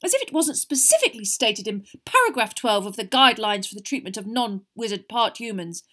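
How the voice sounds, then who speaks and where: A woman's voice: a little high, big pitch swings, a little fast, pushed and bright, full character voice. A woman voicing a pompous, self-important young man in a fantasy audiobook, officious and indignant. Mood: furious, indignant.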